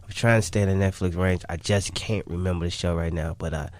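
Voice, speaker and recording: A man's voice: low voice, steady tone, quick pace, a little mellow, dry recording. A man talking casually as an interview guest, repeating himself and often saying "you know what I'm saying.". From Chicago, United States. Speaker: interview guest, music artist.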